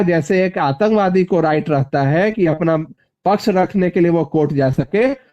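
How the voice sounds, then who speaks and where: Male political interviewee on a phone call, high voice, insistent and repetitive for stress. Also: darkish tone. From Bihar, India (Magadh region). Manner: emphatic, repeats phrases for stress. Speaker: party politician being interviewed.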